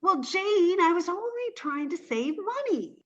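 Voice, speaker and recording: A woman's voice: very high voice, big pitch swings, measured pace, fairly bright tone, muffled recording, full character voice. A woman acting in an old-time radio comedy as a naive, earnest, scatterbrained young roommate who is sweet and guileless. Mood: cheerfully naive.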